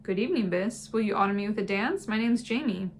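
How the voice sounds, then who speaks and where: A woman's voice: medium pitch, expressive, fast, a little hiss or hum, lightly acted. A woman doing a charming young Scottish man. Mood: insistent.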